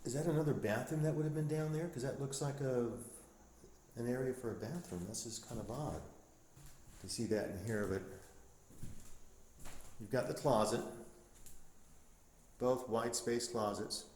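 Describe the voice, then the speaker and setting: A man's voice: mid-range voice, moderate pace, pauses often, a little mellow. Man narrating in an echoey empty house. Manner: amazed, exclaiming, pointing things out to viewers. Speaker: urban explorer vlogger, host. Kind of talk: casual off-the-cuff walk-through narration. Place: inside empty rooms of an abandoned house. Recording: roomy echo, light background noise.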